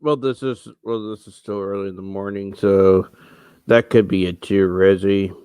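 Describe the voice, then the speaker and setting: A man's voice: deep voice, steady tone, moderate pace, mellow, clear, resonant tone, dry recording. Man in his thirties, livestream rant, rambling, frustrated, headset mic, dry small room. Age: 30s. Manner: rambling, frustrated, many restarts. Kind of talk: casual solo livestream rant. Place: small room at home, indoors.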